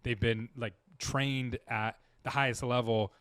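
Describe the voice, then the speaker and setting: A man explains his marketing work in an earnest, rambling way, often restarting his sentences. His voice sits at a middle pitch, and the recording is dry and close with no room sound. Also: fairly even intonation, measured pace, fairly bright tone, clear, resonant tone, no echo. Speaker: dental marketing guest. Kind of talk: casual interview chat.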